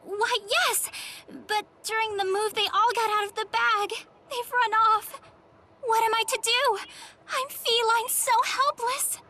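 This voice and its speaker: A woman's voice: very high voice, steady tone, unhurried, sharp tone, a little hiss or hum, exaggerated voice. A woman playing a theatrical, helpless cat-loving lady in a video game, gushing and melodramatic. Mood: dramatic distress. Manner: gushing, melodramatic, pun-laden.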